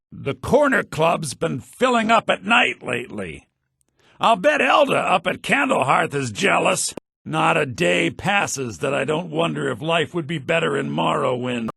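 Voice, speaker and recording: An actor voicing shrewd, wry men of a fantasy video game, such as merchants and mages, in a sly, dry, theatrically expressive manner. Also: male voice, vocal fry, breathy edge, no echo, full character voice. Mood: earnest, aggrieved. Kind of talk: scripted video game character lines. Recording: low-bitrate game audio rip.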